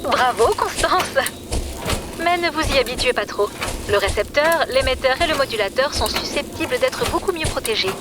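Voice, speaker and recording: A woman's voice: high voice, expressive, normal speed, heavy background noise. An actress playing a wry, businesslike woman mission coordinator in a French audio adventure, teasing but focused.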